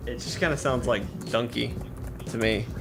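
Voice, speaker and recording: A man's voice: medium pitch, steady tone, normal speed, gravelly, background noise. A man hosting a podcast in an easygoing, conversational style. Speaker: podcast host. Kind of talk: casual chat.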